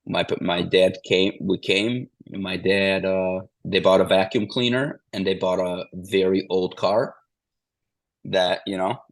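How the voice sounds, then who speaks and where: A man's voice: low-pitched, little pitch movement, unhurried, gravelly, no echo. A man in his forties from Ukraine, telling his story as an interview guest, meandering with restarts and repeated phrases. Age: 40s.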